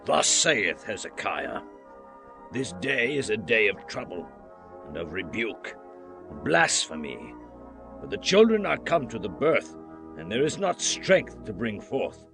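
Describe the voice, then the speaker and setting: An actor playing a grave, earnest, troubled royal messenger in a dramatized scripture audiobook, with music underneath. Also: male voice, fairly low pitch, very animated, unhurried, creaky, exaggerated voice.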